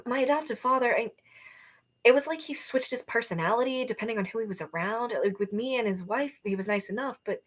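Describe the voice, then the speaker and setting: A woman's voice: on the high side, a little fast, voice pushed up, lightly acted. A woman voicing a defiant, urgent young female rebel soldier in a novel reading, blunt and emphatic. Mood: troubled, confiding.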